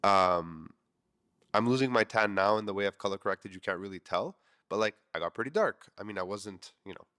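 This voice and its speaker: A man's voice: fairly low pitch, brisk, fairly bright tone. A man in his thirties with a congested, nasal voice, hosting his podcast in a candid, rambling monologue that swears freely. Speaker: podcast host. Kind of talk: casual monologue.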